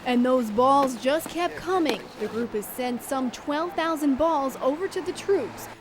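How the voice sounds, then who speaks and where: A woman's voice: high-pitched, unhurried, noisy background. A woman reporter reading scripted narration for a news feature.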